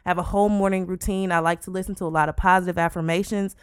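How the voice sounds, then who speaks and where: Twenties woman podcast co-host, blunt and profane, smooth low voice, dry close sound. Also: very fast, no room sound. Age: 20s. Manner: blunt, frequent swearing, animated. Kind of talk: casual chat.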